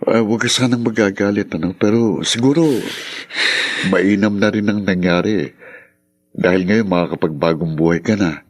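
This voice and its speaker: Actor as gentle low-voiced male friend. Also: moderate pace, lightly acted. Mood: sympathetic, tentative. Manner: gentle, tentative. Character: considerate young male friend, gently advising. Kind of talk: Tagalog radio drama acting.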